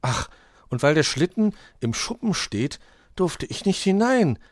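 A man's voice: on the high side, big pitch swings, moderate pace, no room sound, studio quality, pitched above the performer's own voice. A man voicing a small, friendly, curious young fox in a children's story. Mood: surprised.

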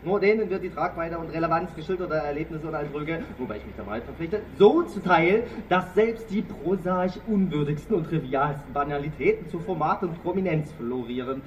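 Man, 30s, author reading his own book, ironic and mock-lofty, slightly high voice, distant mic with faint hiss. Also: animated, a little fast, few pauses, dull audio. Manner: wry, mock-grand reading. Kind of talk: reading aloud his own travel writing.

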